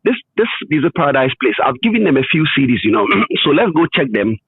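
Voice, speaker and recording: Thin phone-quality audio, middle-aged man, Ghanaian accent, husky, lively intonation, deliberate interview storytelling. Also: medium pitch. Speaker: interview guest. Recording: thin phone-like line, muffled detail.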